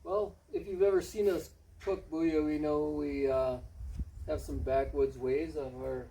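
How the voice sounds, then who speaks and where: A plain-spoken man in his forties who volunteers as a parish cook, chatting casually with many pauses. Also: fairly high pitch, steady tone, mellow. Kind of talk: casual chat. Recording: distant mic with faint noise.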